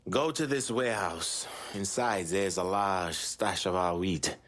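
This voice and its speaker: A man playing a grieving, embittered middle-aged Haitian gang boss in a video game, with a Haitian accent, speaking gravely and deliberately. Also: fairly bright tone. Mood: solemn, resigned. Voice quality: husky, low voice. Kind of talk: dramatic video game dialogue.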